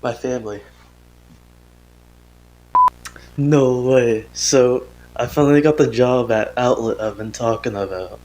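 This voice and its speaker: A man playing an earnest young father recording a confessional audio diary in a horror drama, speaking haltingly and hesitantly. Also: medium pitch, fairly even intonation, warm tone, natural voice, poor audio. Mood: joyful, then thoughtful, content. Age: young adult. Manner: halting, hesitant, sighing, self-interrupting.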